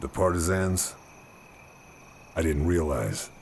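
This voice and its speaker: An actor playing a gruff, stoic wartime lieutenant and covert operative in a video game, speaking in a terse, understated way. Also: male voice, a bass voice, expressive, slow pace, darkish tone, a little hiss or hum, full character voice. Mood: terse, sympathetic. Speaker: player character and narrator. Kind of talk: game cutscene acting and scripted narration.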